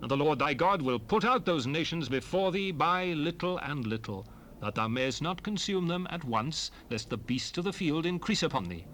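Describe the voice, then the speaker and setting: An actor playing a solemn, commanding prophet who exhorts his people in measured, oratorical scripture recitation for an audio drama. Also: a little high, animated, a bit bright. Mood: stern. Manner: solemn, oratorical, measured phrasing with emphatic rises and falls. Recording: noisy unprocessed 1970s cassette rip.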